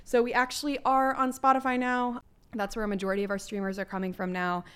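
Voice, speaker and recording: Young woman podcast host, chatty n emphatic, slangy, clear smooth voice, sitting a bit lower cause getting over flu. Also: monotone, quick pace, fairly bright tone. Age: young adult. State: recovering from flu, voice lower than usual. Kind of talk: casual chat podcast.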